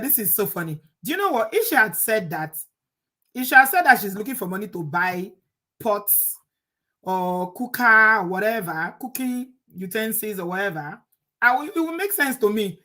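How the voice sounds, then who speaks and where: A woman's voice: fairly high pitch, lively intonation, moderate pace, sharp tone. Chatty Nigerian woman hosting a live show. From Nigeria, Edo State. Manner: chatty, rhetorical, laughs at the topic. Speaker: channel host reading viewer comments. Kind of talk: casual live-stream chat.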